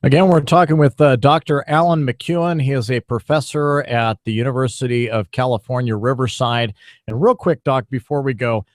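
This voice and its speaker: A man's voice: medium pitch, fairly even intonation, normal speed, no room sound, clean studio sound. A man hosting a morning talk radio interview in a courteous, folksy, conversational manner.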